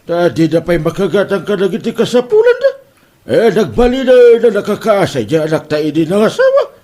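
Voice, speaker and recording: A teasing, easily amused husband played by a man in an Ilocano comedy drama. He speaks in a mellow, slightly high voice with extremely animated swoops and an Ilocano accent. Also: a little fast.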